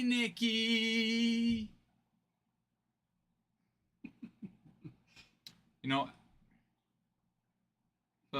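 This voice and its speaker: A man with a high, bright voice hosting a card-breaking stream. He banters casually and breaks into laughter often.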